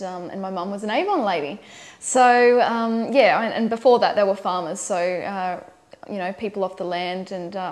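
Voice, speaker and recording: A young Australian country singer talking casually about her life and her new album, with many ums and uhs. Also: medium pitch. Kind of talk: promotional interview monologue.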